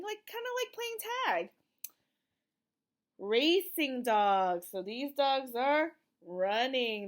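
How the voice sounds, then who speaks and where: High-pitched, lively woman reading to children. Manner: simple, encouraging prompts with questions and slow, emphatic reading. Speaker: teacher reading a picture book to young children. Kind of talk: interactive children's read-aloud.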